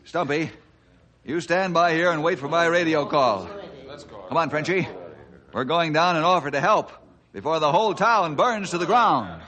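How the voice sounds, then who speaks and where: An earnest, commanding ranger hero in a radio adventure serial. His slightly high voice moves widely in pitch, and he declaims with righteous authority. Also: lively intonation, moderate pace, sharp tone. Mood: urgent, commanding. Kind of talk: radio adventure drama acting.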